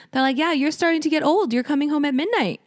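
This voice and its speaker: A woman's voice: high voice, brisk. A woman in her thirties co-hosting a podcast, chatting informally with lots of "like" in her speech. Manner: informal, frequent "like", giggly. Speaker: podcast co-host. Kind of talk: casual podcast chat.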